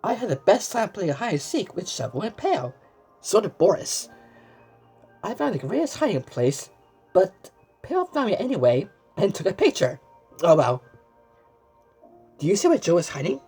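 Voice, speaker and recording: A woman's voice: mid-range voice, sing-song, warm tone, husky, faint music behind. A woman reading a children's storybook aloud, exclaiming, asking the listener questions and often stumbling over and repeating words. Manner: exclamatory, asks the listener questions and pauses as if answered. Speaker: storybook reader. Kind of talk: animated children's read-aloud with asides to the viewer.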